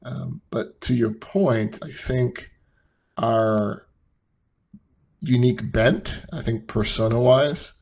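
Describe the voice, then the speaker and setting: Gravelly middle-aged man chatting casually. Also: medium pitch, takes it slowly, a little mellow. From United States and Nigeria. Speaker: podcast co-host. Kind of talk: casual chat.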